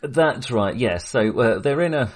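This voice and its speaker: A man co-hosting a podcast, casually discussing films in halting, hesitant phrases. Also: mid-range voice, lively intonation, husky. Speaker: podcast co-host.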